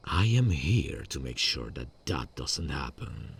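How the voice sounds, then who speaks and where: An actor playing a calm, soft-spoken vigilante serial killer in an ASMR audio drama, quiet and menacing with a low, gravelly delivery. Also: male voice, slow, distant mic, heavily acted. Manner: quiet and menacing, sweet on the phone.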